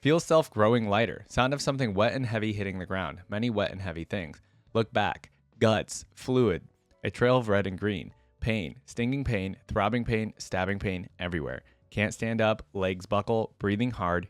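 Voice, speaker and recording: A low, closely recorded voice of a savage, hungry giant monster, as a man reads it out. The phrases come clipped and broken, in a cruel storybook manner. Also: normal speed, dry recording, soft background music, lightly acted. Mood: pained, panicking. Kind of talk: dramatic story reading.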